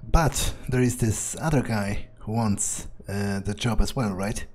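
A man improvising in character as he plays a video game, low-key and hesitant. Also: measured pace, darkish tone. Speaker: gamer roleplaying in character. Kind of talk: improvised in-character gameplay talk.

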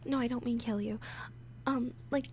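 A woman playing a nervous, awkward, obsessively lovesick young woman in an intimate audio roleplay, halting and often slipping into whispers. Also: on the high side, fairly even intonation, a little mellow, a little hiss or hum, lightly acted. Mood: shy, hopeful. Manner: halting, hesitant, breaking into whispers.

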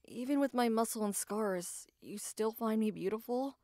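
A woman's voice: a little high, normal speed, a little mellow, dry recording, studio quality, close to an everyday voice. A woman playing a tough, proud female knight, guarded about love, speaking earnestly and formally in an anime ASMR roleplay. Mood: wistful.

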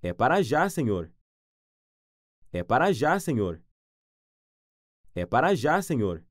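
Male language tutor, very slow and lively in pitch, dry close sound. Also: no echo. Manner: repeats each phrase three times, clearly and deliberately. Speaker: language teacher. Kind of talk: slow phrase repetition for learners.

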